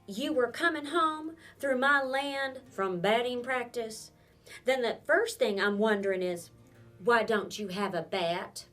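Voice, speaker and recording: A woman voicing a wary, blunt middle-aged woman with a wooden leg, who fires off sharp, probing questions. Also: fairly high pitch, unhurried, pitched above the performer's own voice. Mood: suspicious. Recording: distant mic with faint instrumental music.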